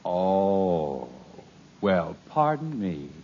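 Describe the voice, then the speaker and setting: A man's voice: low voice, sing-song, drawn-out words, mellow, slight background noise, heavily acted. An actor playing a patient, dry-witted middle-aged father in a 1950s radio comedy, with weary sarcasm. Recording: dull old radio transcription.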